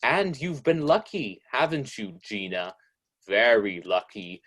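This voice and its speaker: Slow husky sardonic mystery narrator played by a man. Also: mid-range voice, big pitch swings, fairly bright tone, full character voice. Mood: taunting. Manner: slow, knowing, taunting. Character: the Whistler, sardonic omniscient mystery narrator taunting a murderess. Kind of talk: old-time radio mystery narration.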